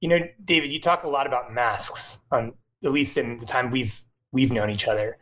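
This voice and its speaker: A man with an ordinary mid-range voice speaks in an earnest, thoughtful way. He restarts phrases as he builds an explanation in a relaxed conversation. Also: medium pitch, normal speed, rough recording. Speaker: nonprofit co-founder, podcast guest. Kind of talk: casual chat.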